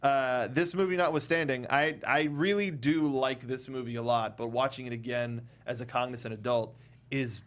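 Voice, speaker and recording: A man hosting a casual panel chat, rambling through animated asides in stop-start phrases. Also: medium pitch, moderate pace. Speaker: talk show host.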